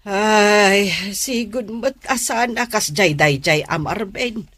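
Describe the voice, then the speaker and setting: A woman's voice: mid-range voice, takes it slowly, a bit bright. A woman playing a worried, admonishing middle-aged mother in a radio drama. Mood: concerned, lamenting.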